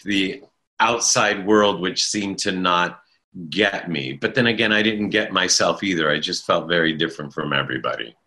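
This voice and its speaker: Bright-voiced man telling stories reflectively. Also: sits low. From Italian-speaking family in America. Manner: thoughtful, halting restarts, self-deprecating humor. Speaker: interview guest, stage performer. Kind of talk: reflective conversational storytelling.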